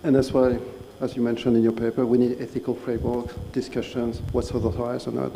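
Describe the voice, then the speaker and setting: Man, very dark mellow tone, gravelly fry, slow deliberate panelist, faint ambience. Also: medium pitch, flat delivery, a little hiss or hum, rough recording. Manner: measured, deliberate, pointed questions. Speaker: conference panelist. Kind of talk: conference discussion. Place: conference hall.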